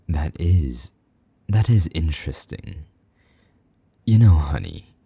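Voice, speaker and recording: A man playing a possessive, jealous vampire knight in an intimate audio roleplay, haughty and condescending, with a husky voice that slips toward a whisper. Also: rumbling low, dark tone, no room sound, full character voice. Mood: suspicious, probing. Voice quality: husky, breathy, half-whispered at times. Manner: condescending, slow-burning menace, mock-sweet endearments.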